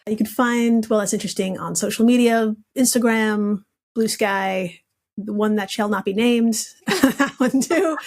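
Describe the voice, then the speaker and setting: A woman's voice: on the high side, a little mellow. A woman guesting on a podcast, chatting casually in an upbeat, appreciative manner.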